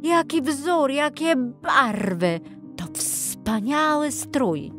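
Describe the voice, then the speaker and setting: A fawning swindler of a tailor, voiced by a woman in a storybook. The flattery is gushing and very drawn out, pitched up high. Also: extremely slow, over music.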